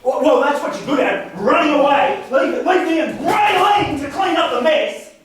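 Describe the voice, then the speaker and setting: A man acting a bitter, middle-aged brother quarrelling in a stage drama, with halting, repeated words. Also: very high-pitched, animated, a crowd in the background. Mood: bitter, heated. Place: echoey indoor space, far from the mic. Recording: very echoey room, distant mic, hiss.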